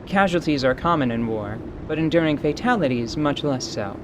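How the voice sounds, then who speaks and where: A man's voice: fairly high pitch, lively intonation, close to an everyday voice. Man voicing a dry, stoic battle-worn mercenary, noisy background. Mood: stoic, explanatory. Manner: dry, formal, deliberate speech. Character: battle-worn winged mercenary, stoic, wry and matter-of-fact.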